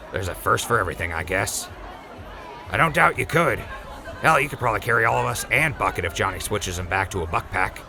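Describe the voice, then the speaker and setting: A man doing a gravelly low apologetic young man. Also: animated, brisk, a crowd in the background. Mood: friendly. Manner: earnest, pleading. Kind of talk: audio drama acting.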